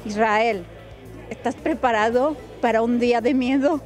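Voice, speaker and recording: A woman's voice: a little high, measured pace, over music. A young woman reporting playfully on location for local TV with a handheld mic. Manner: bubbly, teasing. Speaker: field reporter. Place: large indoor exhibition hall.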